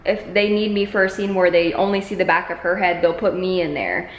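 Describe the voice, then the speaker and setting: A woman's voice: lowish voice, fairly quick. A woman in her twenties chatting casually and informally to camera as a vlogger, holding it at arm's length indoors. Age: 20s. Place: medium-sized living room indoors. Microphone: camera held at arm's length. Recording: echoey bare room.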